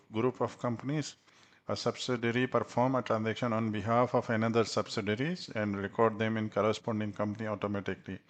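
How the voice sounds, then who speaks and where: A man presenting a software tutorial as an instructor, speaking haltingly with frequent hesitations. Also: fairly low pitch. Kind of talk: tutorial presentation over slides.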